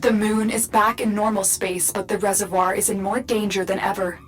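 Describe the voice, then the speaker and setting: A woman's voice: flat delivery, normal speed, lightly acted. A woman playing a composed guide in a video game, giving instructions with controlled urgency. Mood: commanding. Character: composed, urgent female guide directing a mission. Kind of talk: video game character acting. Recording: loud music and engine-like rumble behind. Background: loud game score.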